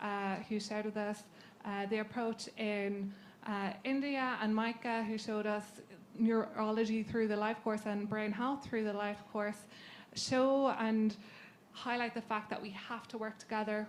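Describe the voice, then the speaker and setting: A woman with an Irish accent speaking on a conference panel about patient advocacy, talking briskly with frequent ums. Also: a little high, slight background noise. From Ireland. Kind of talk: panel discussion talk. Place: conference session.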